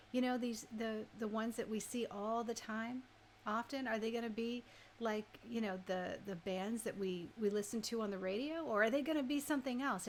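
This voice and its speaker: A woman's voice: a little high, slight background noise. A woman hosting a radio music show, chatting casually and conversationally with listeners, often tossing in questions and checking that they agree. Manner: conversational, rhetorical questions and frequent tag questions. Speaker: radio DJ and host.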